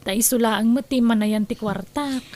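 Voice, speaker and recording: A woman's voice: on the high side, normal speed, darkish tone, dry recording, lightly acted. An actress playing a blunt, emphatic woman in an Ilocano drama, with an Ilocano Filipino accent. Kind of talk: Ilocano radio-style drama acting.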